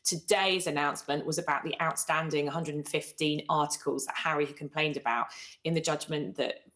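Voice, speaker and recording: A woman's voice: low-pitched, steady tone, fairly quick, a bit bright, poor audio. A woman giving analytical news commentary as a guest, with wry asides and some hesitant phrasing.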